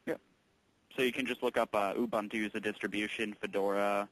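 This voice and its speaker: Male store tech, lower register, casual phone explanations, patient, halting, informal delivery. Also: flat delivery, fairly bright tone, poor audio. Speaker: electronics store tech support employee taking a call.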